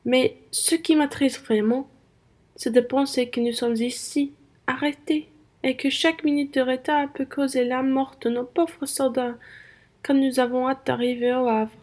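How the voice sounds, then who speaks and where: A young woman playing a pious, earnest nun in a French radio play, speaking solemnly and deliberately. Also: high-pitched, flat delivery, a little mellow. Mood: devout. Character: pious, earnest nun devoted to nursing soldiers.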